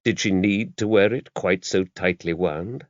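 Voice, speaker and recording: Male audiobook narrator, lowish voice, varied intonation, dry close sound. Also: unhurried, dry recording, dull audio. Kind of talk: scripted narration of a mystery novel.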